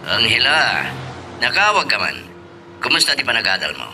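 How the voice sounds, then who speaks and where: An actor playing an obliging boss in an Ilocano radio drama, with an Ilocano accent. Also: male voice, mid-range voice, wildly swooping pitch, normal speed, pushed and bright, faint music behind, heavily acted. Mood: friendly, reassuring. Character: obliging male boss on a phone call.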